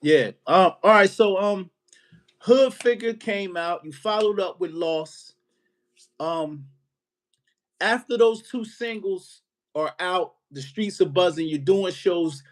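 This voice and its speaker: A man hosting a podcast, chatty and quick to laugh as he asks follow-up questions in casual talk. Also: high voice. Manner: chatty, laughs easily, keeps asking follow-ups. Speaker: podcast host. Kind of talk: casual interview.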